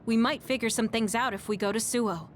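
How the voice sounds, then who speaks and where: Kind female squadmate played by a woman, video game cutscene, gentle, a little high. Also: fairly quick, a little hiss or hum, lightly acted. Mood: concerned.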